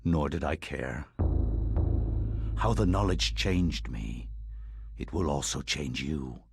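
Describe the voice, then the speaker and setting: An actor playing a sinister, solemn keeper of dark knowledge, narrating a video game in a deliberate, portentous manner. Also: male voice, very deep voice, lively intonation, vocal fry, soft background music, exaggerated voice. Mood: ominous, solemn. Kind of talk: ominous scripted game narration.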